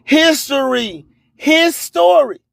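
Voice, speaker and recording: A man's voice: very high-pitched, expressive, slow, gravelly, a bit bright, no room sound. A man announces a radio show in a boastful, theatrical manner, repeating phrases for effect. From US Gulf Coast. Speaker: radio host. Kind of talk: hype radio station announcing over music.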